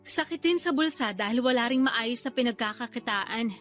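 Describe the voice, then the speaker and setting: A woman's voice: on the high side, expressive, a little fast, fairly bright tone, faint music behind. A woman playing a hard-up, complaining middle-aged older sister and mother in a Filipino radio drama. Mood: bitter complaining. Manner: complaining, defensive, blunt. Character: aggrieved, hard-up older sister, struggling mother of many children.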